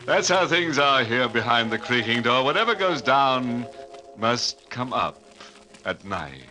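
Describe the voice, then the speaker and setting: An actor playing a gleefully macabre horror host on a 1940s radio show, with sly, theatrical, punning delivery. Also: male voice, mid-range voice, sing-song, a little slow, pushed and bright. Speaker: horror anthology host and narrator. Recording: old radio recording with music.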